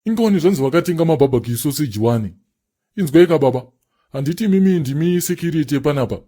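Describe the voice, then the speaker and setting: A man's voice: fairly high pitch, animated, quick pace, mellow. A man playing a self-important prophet and faith healer in a comedy cartoon, pompous and wheedling. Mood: pleading.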